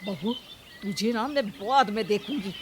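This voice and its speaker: A woman's voice: medium pitch, a little slow, noisy background, close to an everyday voice. A woman voicing a stubborn, hot-tempered older village mother-in-law in a cartoon story, brusque and scolding, with rustic turns of speech. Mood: irritated. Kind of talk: acted children's cartoon story.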